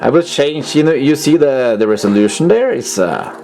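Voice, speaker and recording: A man's voice: mid-range voice, expressive, moderate pace, gravelly. A man giving a casual, unscripted computer demonstration, hesitant and often searching for words, as the host of a retro tech video. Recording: music playing behind the voice. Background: music from computer audio player.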